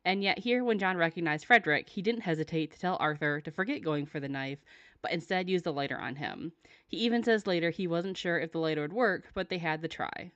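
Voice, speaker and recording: A woman's voice: lowish voice, a little fast, fairly bright tone. A woman giving prepared commentary as a listener's voice message, in a dull, roomy recording.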